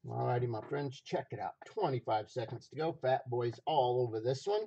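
A man hosting a livestream auction with folksy, repetitive casual sales patter, his voice rough, raw and a little hoarse. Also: mid-range voice, moderate pace, dark tone, highs cut off. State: slightly hoarse.

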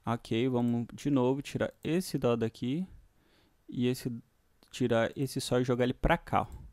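A man's voice: mid-range voice, unhurried, a little mellow, dry recording. A Brazilian man hosting a casual music production screencast, thinking aloud and often checking in with short tag questions. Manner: informal, frequent tag questions. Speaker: music production tutorial host. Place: indoors, voice-over on a screen recording.

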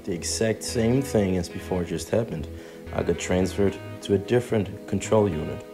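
A young man with a Danish accent narrates in an explanatory way. His voice sits very low and has a gravelly fry. Music plays clearly behind him. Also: normal speed. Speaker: student pilot.

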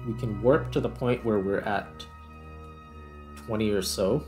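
Low-voiced man narrating his gameplay. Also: a little slow, a little mellow, faint music behind. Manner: thinks aloud while playing. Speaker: gaming video host. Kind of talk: casual gameplay commentary.

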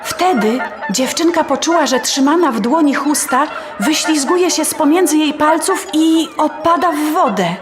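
A woman's voice: high voice, moderate pace, hardly any pauses, noisy background. A woman narrating a scripted fairy tale as a storyteller. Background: faint music.

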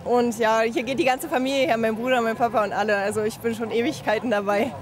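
A woman's voice: fairly high pitch, steady tone, fast talker. A young woman, a football fan, answering casually in a street interview outdoors near a stadium. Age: young adult. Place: outdoors among crowd outside a football stadium. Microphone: handheld interview microphone.

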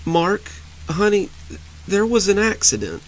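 A man voicing a frightened young wife whose high, overly sweet voice keeps breaking as she holds back tears. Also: wildly swooping pitch, unhurried, vocal fry, sharp tone, a little hiss or hum, exaggerated voice, poor audio. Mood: tearful.

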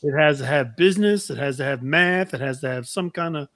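Halting, higher-voiced male interview host. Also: a bit bright, no echo, clean studio sound. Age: middle-aged. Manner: halting, roundabout phrasing. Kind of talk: casual chat. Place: indoor room, video call.

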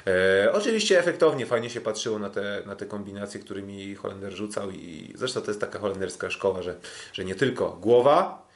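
A man recapping sports as a podcast host in a halting way, often repeating words. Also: on the low side, expressive. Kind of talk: casual sports recap commentary.

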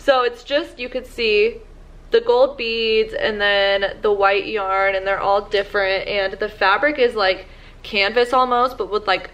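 A woman's voice: medium pitch, fairly even intonation, unhurried, fairly bright tone. Chatty young woman filming at home. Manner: chatty, lots of "like" fillers. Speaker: vlogger. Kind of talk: casual shopping haul chat. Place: living room at home.

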